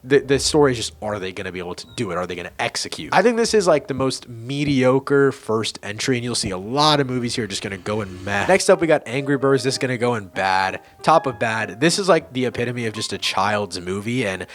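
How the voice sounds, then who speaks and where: A man's voice: mid-range voice, expressive, a little fast, few pauses. A man hosting a casual ranking video, giving blunt opinions in informal, slangy language over background music. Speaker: video host and amateur film reviewer.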